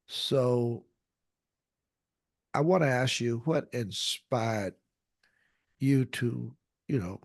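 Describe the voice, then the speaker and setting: A man hosting a podcast interview, talking slowly with many fillers and restarts. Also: on the low side, darkish tone, breathy edge, no echo, studio quality. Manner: slow, hesitant, many fillers. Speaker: podcast host.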